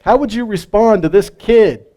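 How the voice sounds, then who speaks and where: A man's voice: high voice, normal speed, dark tone. A middle-aged man teaches scripture in a folksy way, asking his listeners questions and chuckling often. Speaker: Bible teacher. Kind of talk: casual Bible teaching.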